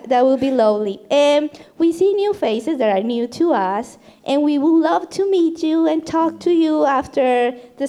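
High-voiced Colombian woman, Spanish accent. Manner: laughs often, shy and gentle. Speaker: pastor's wife, ministry leader. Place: church sanctuary.

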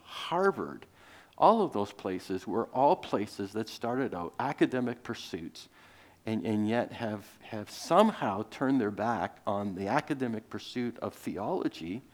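Male preacher, Greek first language; loose, rambling sermon delivery full of restarts and asides. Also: medium pitch. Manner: rambling, many restarts and fillers, chuckles. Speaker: pastor.

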